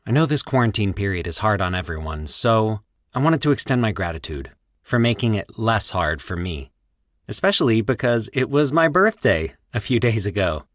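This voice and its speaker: Male podcast narrator, lower register, deliberate literary storytelling, very dry close-mic sound. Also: big pitch swings, fairly bright tone, no echo.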